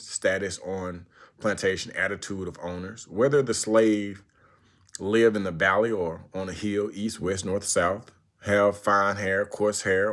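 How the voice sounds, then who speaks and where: Guy with a lowish voice reciting an old speech, deliberate and emphatic, lots of pauses. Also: a bit bright. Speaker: narrator reading a historical speech aloud. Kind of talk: recited speech read aloud.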